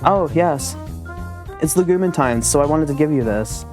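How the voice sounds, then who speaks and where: A man's voice: on the high side, lively intonation, normal speed, a little mellow, soft background music, lightly acted. A man voicing an eager, polite young schoolgirl heroine in a visual novel. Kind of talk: visual novel read aloud.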